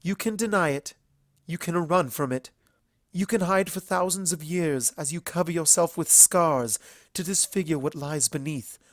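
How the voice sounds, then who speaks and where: A man voicing a regal, earnest mare princess in a fantasy story reading, with solemn, emphatic and measured speech. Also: a little high, no echo, studio quality, pitched above the performer's own voice, natural voice. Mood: insistent, earnest.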